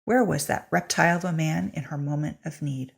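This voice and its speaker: A woman giving an expressive, wry scripted narration of a story in a husky, creaky voice. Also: low-pitched, a little fast. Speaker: audiobook-style reader of a short story.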